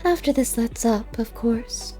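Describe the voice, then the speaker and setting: A woman's voice: fairly high pitch, unhurried, mellow, soft background music, pitched above the performer's own voice, close to an everyday voice. A woman voicing a wry, curious female cat in a storybook reading, dryly questioning and skeptical. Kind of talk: audiobook character voice.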